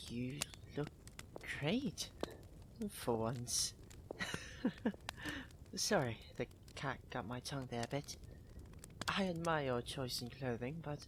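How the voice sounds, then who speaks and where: Slow-spoken, proud young prince acted by a man. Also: medium pitch, noisy background. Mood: awkward, teasing. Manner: posh, halting, teasing, defensive. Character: proud, tsundere young prince, flustered and secretly tender. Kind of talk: ASMR roleplay acting.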